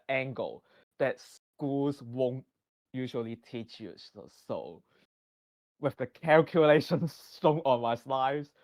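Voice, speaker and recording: A man with a Hong Kong accent presenting a math lesson from slides, halting and full of restarts. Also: medium pitch, darkish tone, dry recording. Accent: Hong Kong English. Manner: halting, many restarts and fillers. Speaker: guest presenter.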